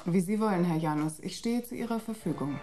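A woman playing a composed, faintly bitter middle-aged guide in a German radio play, measured and matter-of-fact. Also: fairly low pitch, expressive, mellow, faint music behind, lightly acted. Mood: resigned, bitter.

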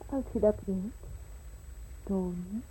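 A woman's voice: mid-range voice, slow pace, mellow, slight background noise, lightly acted, low-quality recording. An actress playing a young aristocratic woman torn between love and duty in an old radio drama, speaking in an emotional, hesitant way. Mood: shy. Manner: emotional, hesitant, breathy pleading. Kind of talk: 1950s radio drama acting.

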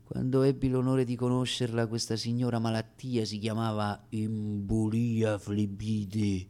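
A man performing a comic radio theatre monologue as an actor, with deliberate, wry, dramatic phrasing and pauses. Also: fairly low pitch, little pitch movement, warm tone.

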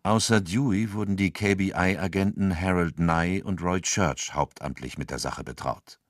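A man's voice: sits low, a little slow. A man narrating a radio drama from a script, in the role of storyteller.

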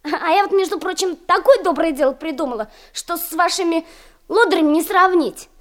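A woman's voice: very high-pitched, brisk. A woman playing a stubborn, pert young schoolgirl in a radio play, with a childlike manner. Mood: defiant. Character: cheeky, stubborn, self-righteous young schoolgirl.

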